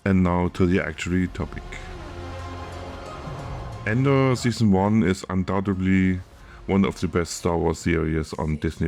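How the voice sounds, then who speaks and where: A man with a German accent, a film editor reading a scripted video essay in a careful, measured way. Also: low-pitched, gravelly, a little mellow.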